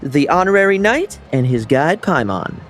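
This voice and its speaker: An actor playing a sly, cheerful young knight in a game, breezy and smooth-talking. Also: male voice, fairly high pitch, sing-song, moderate pace, fairly bright tone, faint music behind.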